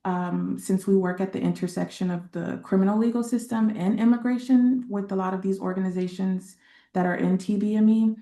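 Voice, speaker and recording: Gravelly, mellow woman organizer. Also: mid-range voice, roomy sound. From San Antonio, Texas. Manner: halting, many fillers and pauses. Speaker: community organizer, panelist. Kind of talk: webinar panel talk.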